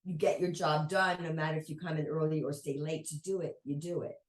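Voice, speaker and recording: A woman guest on a podcast, telling a personal story at an unhurried, reflective pace. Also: deep voice, fairly even intonation. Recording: roomy echo.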